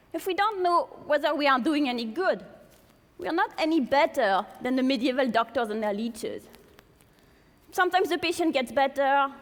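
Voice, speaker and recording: A woman from France with a strong French accent, giving a public lecture to an audience in a large hall and posing many rhetorical questions. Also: sits high. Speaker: speaker giving a talk to an audience. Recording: long hall reverb.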